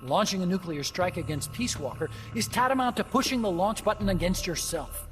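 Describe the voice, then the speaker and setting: Casting: an anxious young scientist, slightly high voice, fast explanatory delivery, music under the scene. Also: male voice, lively intonation, fairly bright tone. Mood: explaining. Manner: quick, explanatory, turns subdued and hesitant. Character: young male scientist, nervous, guilt-ridden. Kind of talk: video game cutscene acting.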